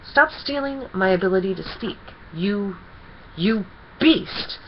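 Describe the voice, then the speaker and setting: A woman's voice: mid-range voice, lively intonation, slow pace, lo-fi sound, a little hiss or hum. A woman voicing a flustered, indignant male pony who protests in exasperation, read from a children's storybook. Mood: angry, exasperated. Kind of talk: children's storybook character reading.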